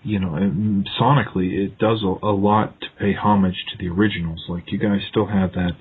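A man's voice: low-pitched, steady tone, a little fast, breathy edge. A man interviewing a musician in a casual, agreeable way, asking long questions with many fillers. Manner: casual, lots of short agreeing replies. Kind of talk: phone interview. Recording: tinny phone line.

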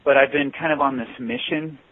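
A man's voice: medium pitch, a little fast. A man, a guitarist and singer in a band, answering interview questions at length with many restarts. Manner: thoughtful, rambling with restarts. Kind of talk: phone interview. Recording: tinny phone line.

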